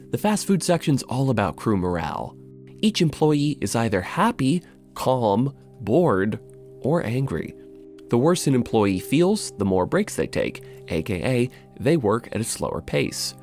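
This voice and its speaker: A man delivers scripted video essay narration with a very animated, rising and falling pitch. His manner is wry and informal, with dry casual asides. Faint music plays behind his voice.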